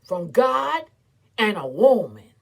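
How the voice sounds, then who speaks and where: A woman giving a dramatic, theatrical recitation as an impassioned, defiant, weary but proud orator, with emphatic repetition and long pauses. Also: mid-range voice, very animated, gravelly. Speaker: performer reciting a historical speech.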